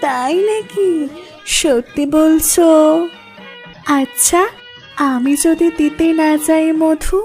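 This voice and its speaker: Coy young Bengali college girl, played by a woman, cartoon comedy, very high and unhurried, teasing, music underneath. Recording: loud music behind the voice.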